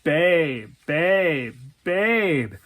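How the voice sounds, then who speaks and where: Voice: mid-range voice, big pitch swings, extremely slow, a bit bright, heavily acted. A storyteller voicing a sheepish, evasive boyfriend who is hesitant, defensive and placating. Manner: hesitant, stammering, repeats himself.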